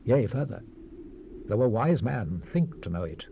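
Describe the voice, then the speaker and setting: An actor playing a solemn, world-weary sage, delivering scripture in a grave, oratorical manner with dramatic swells and hushed falls. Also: male voice, medium pitch, big pitch swings, measured pace, creaky. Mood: weary, reflective. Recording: noisy 1970s cassette rip, faint hiss.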